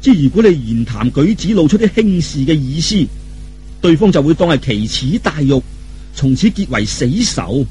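A man's voice: fairly high pitch, big pitch swings, normal speed, mellow, faint music behind. A man voicing a naive, good-hearted young martial hero in a Cantonese audiobook, musing aloud guilelessly.

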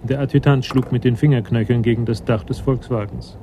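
Male storyteller for radio drama, scripted narration, medium-pitched, relaxed tone with a gravelly edge. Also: fairly quick, slight background noise.

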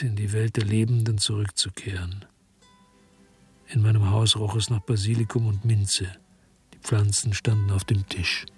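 A man's voice: fairly low pitch, a little mellow, slightly rough, no room sound, heavily acted. An actor playing a brooding, disillusioned ex-policeman who narrates a crime radio drama in terse, reflective phrases. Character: world-weary disillusioned male ex-cop, brooding and reflective.

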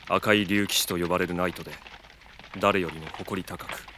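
A man playing a cold, stoic swordsman in an audio drama, speaking in grave, formal, deliberate phrases. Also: low-pitched, fairly even intonation, background noise, natural voice. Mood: grave, foreboding. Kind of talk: Japanese audio drama acting.

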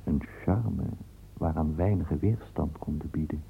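A man narrating a radio drama as a florid storyteller, in a measured, gravelly voice. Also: very deep voice, exaggerated voice. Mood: measured, admiring. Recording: narrow, phone-like radio play recording.

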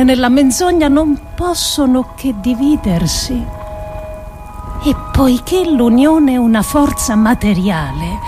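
Female radio reader, upper-register voice, markedly slow delivery, grave and deliberate with weighted pauses. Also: high-pitched, a little mellow. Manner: deliberate, solemn, with emphatic pauses. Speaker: performer reading an essay monologue. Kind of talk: dramatic scripted radio reading of philosophical essay.

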